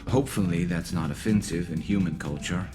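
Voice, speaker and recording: A man voicing an awkward, earnest alien soldier in a video game, gravelly, hesitant and dryly wry. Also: sits low, brisk, dark tone, soft background music, lightly acted. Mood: nervous, tender. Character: awkward, earnest male alien soldier, battle-scarred and loyal.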